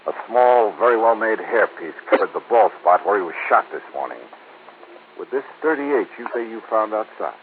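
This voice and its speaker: An American actor playing a shrewd, hard-boiled insurance investigator with clipped, probing delivery in 1950s radio drama style. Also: male voice, fairly low pitch, animated, moderate pace, lightly acted. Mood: firm, grim. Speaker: lead actor in a detective serial. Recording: tinny, hissy old radio recording.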